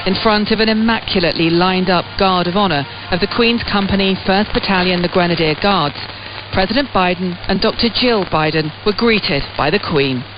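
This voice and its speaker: A woman's voice: mid-range voice, moderate pace. A woman royal correspondent filing a formal, descriptive scripted news report. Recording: muffled shortwave radio with music.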